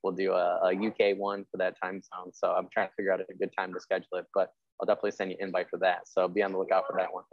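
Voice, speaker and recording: A man's voice: deep voice, completely flat delivery, racing, dry recording, dull audio. A man hosting a podcast interview in casual chat, rambling and repeating himself as he goes. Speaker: podcast host and writer.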